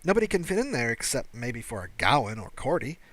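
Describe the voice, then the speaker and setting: A man voicing a flustered, indignant young male alien security officer who protests haltingly in an audiobook. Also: medium pitch, big pitch swings, fairly bright tone, dry recording. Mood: exasperated, worried. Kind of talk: audiobook character dialogue.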